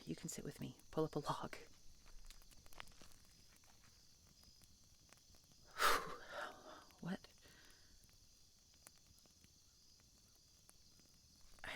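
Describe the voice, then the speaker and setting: A woman playing a guarded, tsundere dragon hunter in an intimate fantasy audio roleplay, halting and grudging, often dropping to a hushed delivery. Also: deep voice, gravelly, exaggerated voice. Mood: awkward, hesitant, then guarded, reluctant. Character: prickly tsundere female dragon hunter, guarded but softening.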